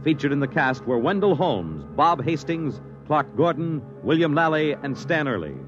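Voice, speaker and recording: A man's voice: fairly high pitch, big pitch swings, moderate pace. An American man announcing from a script in 1950s radio style. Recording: dull 1950s radio recording with music.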